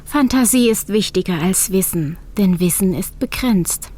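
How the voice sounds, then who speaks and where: A woman playing female characters in a dramatic story, speaking dialogue in a clear, theatrical way. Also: medium pitch, a little slow, a little mellow, close to an everyday voice. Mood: thoughtful. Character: women in a story: wife, urgent hospital nurse. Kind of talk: acted audiobook dialogue.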